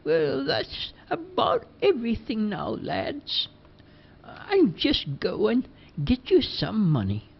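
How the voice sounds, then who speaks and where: Man putting on a nosy old woman, high and slow, loves a bit of gossip, dull lo-fi sound. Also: huge pitch swings, creaky, breathy edge, full character voice. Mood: insistent. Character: gossipy older village woman, fussy, nosy. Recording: dull lo-fi recording.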